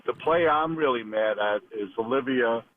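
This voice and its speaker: A man's voice: mid-range voice, moderate pace, a crowd in the background. A man calling a sports radio show on the telephone, making a casual fan's complaint. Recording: tinny phone line.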